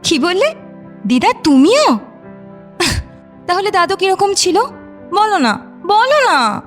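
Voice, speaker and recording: An actress playing a lively, teasing young woman in a Bengali audio drama, expressive and playfully whiny, mixing in English slang. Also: very high voice, takes it slowly, faint music behind, exaggerated voice. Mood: curious, insistent. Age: young adult.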